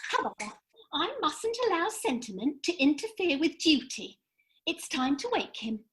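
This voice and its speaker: A woman's voice: high-pitched, very animated, normal speed, a bit bright, low-quality recording. A woman playing an airy, matter-of-fact fairy in a comic radio play, breezy and arch, recorded over a home video call. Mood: amused, pitying.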